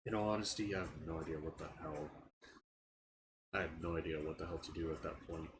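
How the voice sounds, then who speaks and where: Young man, very deep voice, stuffy nose, frustrated, halting speech, noisy background. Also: fairly even intonation. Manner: frustrated, halting, restarts words often. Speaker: channel owner giving an update. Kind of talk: casual personal vlog rant.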